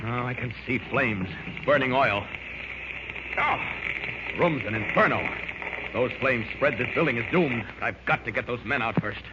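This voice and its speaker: An actor playing a heroic, resolute superhero, urgently thinking aloud in 1940s radio serial style. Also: male voice, medium pitch, lively intonation, unhurried, close to an everyday voice. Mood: urgent, determined. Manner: dramatic, urgent self-narration. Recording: noisy, dull old radio recording.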